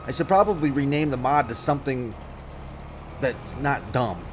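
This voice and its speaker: A man's voice: mid-range voice, lively intonation, normal speed, slight background noise. Man rambling through gameplay commentary. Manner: rambling, self-correcting, thinks aloud. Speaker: let's play gamer.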